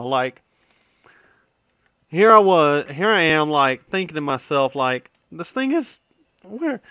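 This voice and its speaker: Clear-voiced man rambling over a game. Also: on the high side, bright, forceful tone, no room sound, clean studio sound. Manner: conversational, digressive, often trailing off and correcting himself. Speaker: gaming video host. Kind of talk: casual, rambling let's-play commentary.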